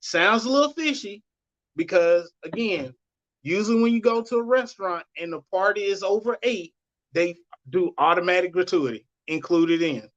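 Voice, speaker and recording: A man with a high-pitched, squeaky voice, co-hosting a casual podcast chat and weighing in slowly and deliberately. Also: fairly bright tone, lo-fi sound. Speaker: podcast co-host.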